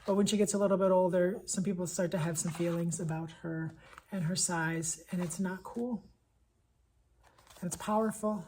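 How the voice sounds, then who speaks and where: A woman with a husky voice talking as an elementary school teacher in a casual vlog, enthusiastic and conversational, leaning on repetition for emphasis. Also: on the low side, steady tone, moderate pace, darkish tone, slight background noise, rough recording. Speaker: elementary school teacher presenting picture books. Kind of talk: casual vlog book recommendations.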